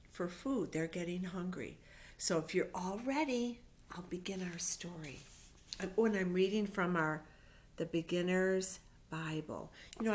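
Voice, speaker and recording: A middle-aged woman reading Bible stories aloud to young children, explaining simply and patiently with deliberate emphasis. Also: fairly low pitch. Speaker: children's Sunday school storyteller. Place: living room at home. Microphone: webcam or laptop microphone at arm's length.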